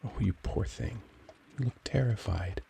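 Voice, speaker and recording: A man playing a gentle, cultured young vampire in an intimate ASMR roleplay, speaking formally and tenderly as he struggles for control. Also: on the low side, a little slow, creaky, a little hiss or hum. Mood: apologetic, reassuring. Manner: formal, courtly, tender, brooding.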